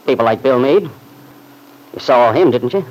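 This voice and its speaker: An actor playing a jealous, irritable young husband with a curt, needling manner in an old-time radio soap opera. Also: male voice, mid-range voice, expressive, a little slow, highs cut off. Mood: angry, accusing. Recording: noisy vintage radio recording.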